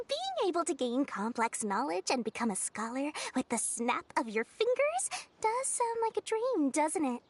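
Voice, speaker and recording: A woman's voice: high-pitched, huge pitch swings, measured pace, a little hiss or hum, heavily acted. A woman voicing a sly young woman merchant from a game, greedy and playful, with a coy, salesy way of talking. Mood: explaining. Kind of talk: game voice acting. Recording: studio voice over soft game music.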